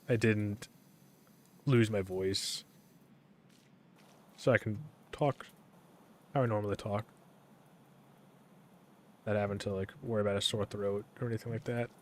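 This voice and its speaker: A young man streaming a game, talking in a casual, rambling way with a monotone delivery, full of fillers and restarts. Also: fairly low pitch, unhurried, pauses often, a little hiss or hum. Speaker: gaming streamer.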